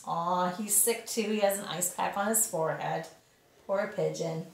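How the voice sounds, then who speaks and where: A woman's voice: fairly low pitch, normal speed, gravelly, slight background noise. A woman storyteller reading a picture book aloud to young children. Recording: echoey room.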